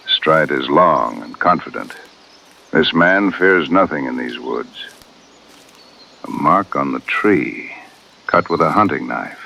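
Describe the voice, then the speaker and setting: Very deep, gravelly solemn tracker. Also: male voice, pushed and bright, slight background noise, exaggerated voice. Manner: formal, measured speech without contractions. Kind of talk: radio drama acting.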